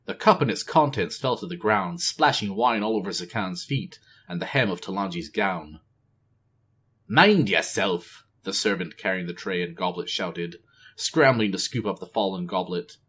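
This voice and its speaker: A man giving a casual, expressive storytime reading of a novel. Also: lowish voice, slightly rough. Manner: expressive, varied delivery. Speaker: reader, channel host.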